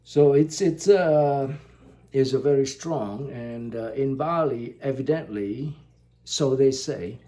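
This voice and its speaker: A man from Indonesia, a native Indonesian speaker, talking slowly to the camera in a casual vlog, pausing often with fillers. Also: mid-range voice, mellow. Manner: slow, halting, many fillers. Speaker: vlogger.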